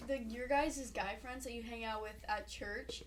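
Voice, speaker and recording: Teen girl co-host, kinda high voice, asking stuff, noisy background. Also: steady tone, normal speed. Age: teens. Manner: inquisitive, laughing. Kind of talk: casual chat.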